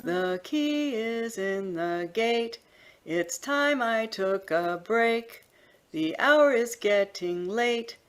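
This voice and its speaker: A woman's voice: fairly high pitch, animated, drawn-out words, smooth. Hesitant woman reciting a short lyric. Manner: hesitant, laughs at herself. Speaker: workshop participant.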